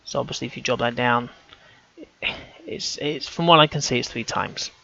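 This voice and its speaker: Gravelly man musing aloud, lo-fi sound. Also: mid-range voice, moderate pace, pushed and bright. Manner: hesitant, self-correcting, casual. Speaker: amateur researcher. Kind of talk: thinking-aloud commentary over on-screen images.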